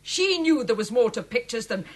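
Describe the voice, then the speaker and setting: A woman's voice: a little high, sing-song, moderate pace. An actress playing an earnest gallery woman in a radio play, insistent and pleading. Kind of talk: radio drama acting.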